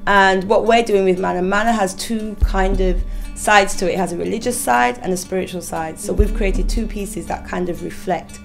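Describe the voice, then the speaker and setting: Woman, dance company director, measured explainer, music underneath. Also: mid-range voice, vocal fry. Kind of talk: behind-the-scenes interview.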